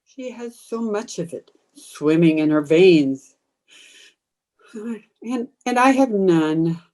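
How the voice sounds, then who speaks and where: A woman's voice: lowish voice, animated, a little mellow. A woman playing a menacing, commanding undead ghost hungry for blood, in old time radio horror style. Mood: eerie, hungering. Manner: slow, commanding, taunting. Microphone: video call.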